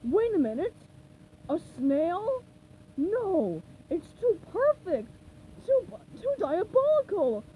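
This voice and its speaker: A woman's voice: extremely high pitch, sing-song, slow pace, phone-quality sound, slight background noise, pitched above the performer's own voice, full character voice. A woman voicing a tiny, scheming male plankton villain, theatrical and gloating.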